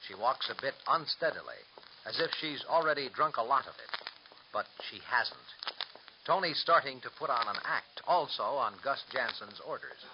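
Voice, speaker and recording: A man narrating a 1940s radio drama in a measured, scripted way. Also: mid-range voice, expressive. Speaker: announcer. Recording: dull old radio recording with clear noise and clicks.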